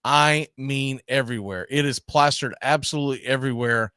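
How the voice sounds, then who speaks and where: A man's voice: medium pitch, normal speed, bright, forceful tone, clear, resonant tone, no room sound, clean studio sound. A man hosting a baseball talk show in a casual, rambling way. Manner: informal, rambling. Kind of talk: casual live show chat.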